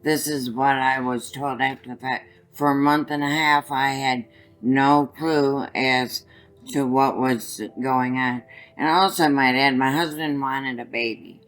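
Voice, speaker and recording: Older lady with a scratchy raspy voice, stops and restarts a lot telling her story, faint music behind. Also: rumbling low, fairly even intonation, a bit bright. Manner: halting, restarts often while recounting. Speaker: guest. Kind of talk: personal story in an interview.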